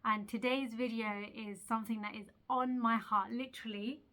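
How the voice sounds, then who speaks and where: Bright-voiced woman, earnest chatty vlog. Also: a little high, fairly even intonation, moderate pace. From Eritrea. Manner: chatty and earnest, laughs at herself, asks the viewer questions. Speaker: video creator. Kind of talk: casual motivational talk to camera.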